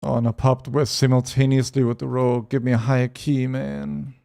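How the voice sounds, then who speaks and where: A man from Europe streaming a game, reading chat aloud and answering it between quick asides about his play. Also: medium pitch, flat delivery, creaky, warm tone. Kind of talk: casual gaming stream chat.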